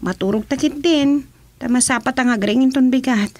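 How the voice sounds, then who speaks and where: Aktres bilang mapagdudang babaeng Ilokana, matinis. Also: female voice, sits high, normal speed, darkish tone, close to an everyday voice. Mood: suspicious, scolding. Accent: Ilocano. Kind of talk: Ilocano comedy radio drama acting.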